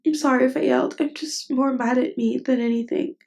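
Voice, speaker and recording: A woman acting a tender, lovestruck best friend in an intimate ASMR roleplay, speaking in a halting, earnest, vulnerable way. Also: high-pitched, fairly even intonation, gravelly, darkish tone. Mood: tearful, frustrated. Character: tender, lovestruck female best friend confessing her feelings. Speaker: voice actress.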